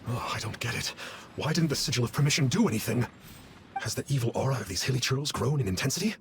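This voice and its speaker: A man's voice: medium pitch, animated, fast, background noise, lightly acted. A man playing a pompous, blustering self-styled immortal exorcist with little courage, in a video game. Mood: baffled. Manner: pompous, archaic wording, blustering. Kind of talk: video game character acting. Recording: music behind the voice.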